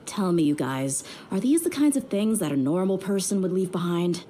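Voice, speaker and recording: Tough game mercenary gal, mellow low voice, dry and kinda weary but tender. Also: female voice, animated, a little slow, slight background noise. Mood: dry, exasperated. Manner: dry, weary, plain-spoken. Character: tough young woman mercenary, wry, tender underneath. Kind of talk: video game character dialogue.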